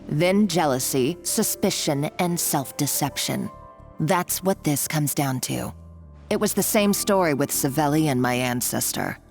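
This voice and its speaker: A woman's voice: low voice, vocal fry, a little mellow, full character voice. A woman playing a domineering, gloating female villain in a video game, speaking with taunting condescension. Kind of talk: anime-style video game acting.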